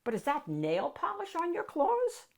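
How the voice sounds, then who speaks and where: A woman's voice: high-pitched, huge pitch swings, measured pace, voice pushed up, full character voice. Woman as a picky, doubtful storybook child. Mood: earnest, doubtful. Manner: earnest, questioning. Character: anxious, picky child narrator wanting a scary monster.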